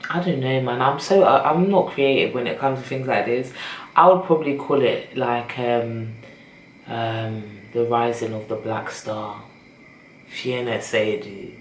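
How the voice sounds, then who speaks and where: A young woman with a British accent, chatting casually and rambling to her camera. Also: rumbling low. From Britain. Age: young adult. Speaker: vlogger. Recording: echoey room with faint noise.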